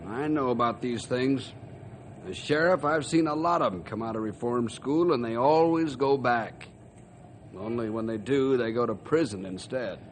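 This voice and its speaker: A hard-bitten small-town western sheriff, acted by a man for old-time radio, American and folksy. His mid-pitched voice is blunt and cynical, with clear noise behind it. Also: moderate pace, natural voice. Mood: cynical, insistent. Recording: noisy old radio drama recording.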